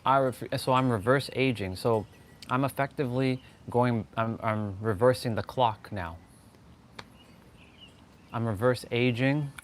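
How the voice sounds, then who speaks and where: A man with a Canadian accent giving an impromptu, insistent motivational monologue on a livestream, repeating phrases for stress. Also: medium pitch. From Toronto, Canada. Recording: clearly noisy outdoor ambience.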